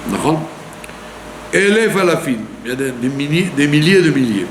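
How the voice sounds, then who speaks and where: Man, rabbi, sermon, fairly high voice, lively intonation, echoey room. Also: normal speed. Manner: animated teaching, with rhetorical questions and frequent "d'accord?". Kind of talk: Torah lesson sermon. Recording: echoey room with faint hum.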